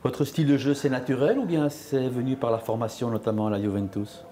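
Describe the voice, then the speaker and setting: Mellow-voiced male sports interviewer. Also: mid-range voice, fairly quick, a little hiss or hum. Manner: asks short, direct, probing questions.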